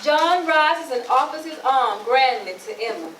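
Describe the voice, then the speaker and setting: High-voiced woman narrator in an echoey room. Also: lively intonation, a little slow, noisy background. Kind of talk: reading stage directions in a readers theatre play. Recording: echoey, distant mic, music behind.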